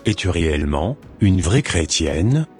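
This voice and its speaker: Deep-voiced church elder preaching in a cartoon, calm and admonishing, a man voicing him. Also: soft background music. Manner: slow, sermonizing, gently admonishing.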